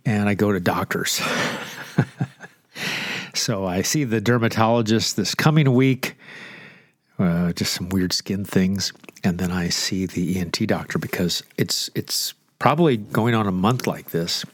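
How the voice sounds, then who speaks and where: A man's voice: low voice, a little slow, no echo, studio quality. A congested, stuffy-nosed man hosting a radio show as a pastor, rambling and joking at his own expense. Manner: rambling, joking, self-deprecating. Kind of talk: casual off-the-cuff radio chat.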